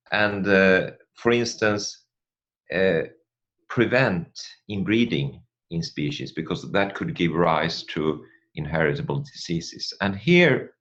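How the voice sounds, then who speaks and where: Middle-aged male academic, Swedish accent, low register, halting lecture delivery, dull recording. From Sweden. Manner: hesitant, halting delivery with repeated words. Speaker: academic guest speaker, population geneticist. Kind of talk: academic presentation.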